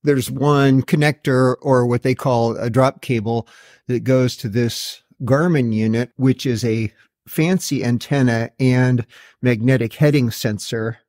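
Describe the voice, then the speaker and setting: A man's voice: medium pitch, steady tone, a little slow, darkish tone. Matter-of-fact man explaining a DIY install. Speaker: host of a DIY boat install video. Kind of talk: hands-on how-to narration.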